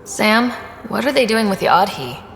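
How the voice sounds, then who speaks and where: A woman's voice: mid-range voice, sing-song, normal speed, creaky, fairly bright tone, big-room sound, a little hiss or hum. A woman playing a determined young explorer leading a sci-fi expedition in a video game. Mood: inquisitive, businesslike.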